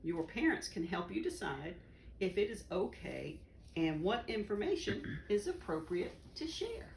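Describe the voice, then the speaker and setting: A woman reading a children's educational book aloud in a deliberate, instructive way. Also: mid-range voice, big pitch swings, vocal fry. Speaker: volunteer story reader. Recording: roomy echo, faint background noise.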